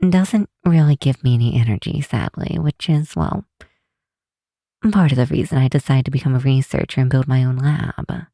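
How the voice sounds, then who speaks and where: An affectionate, bookish vampire researcher, played by a woman for an ASMR roleplay. The voice is mellow and very close and dry, with no room sound. The delivery is slow, tender and lightly teasing, with thoughtful hesitations. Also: extremely low pitch, dry recording. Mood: gentle, wistful. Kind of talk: ASMR roleplay, one side of a late-night phone call.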